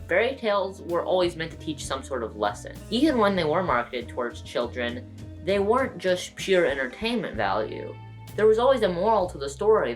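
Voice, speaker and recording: A woman narrating a scripted video essay, with some casual asides. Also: low-pitched.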